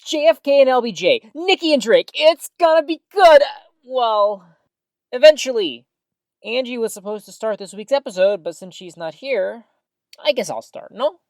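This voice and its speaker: A woman's voice: a little high, animated, normal speed, a little mellow, dry recording. A woman playing a chatty, upbeat small-town radio host in an audio drama, with folksy exclamations and theatrical news patter.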